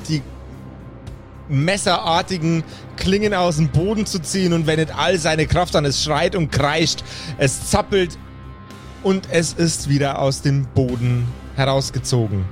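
A man narrating an improvised tabletop game as game master, speaking in a measured, deliberate way with pauses. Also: a little high, faint music behind.

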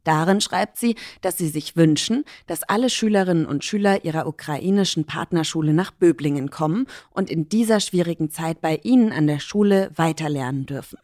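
A woman narrating a news report in a steady, measured, scripted way. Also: low-pitched, dry recording, studio quality.

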